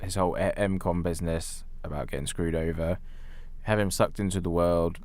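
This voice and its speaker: A man's voice: deep voice, fairly even intonation, fairly quick, a little mellow. A man co-hosting a podcast, giving blunt, critical opinions in a casual film review chat. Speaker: podcast co-host.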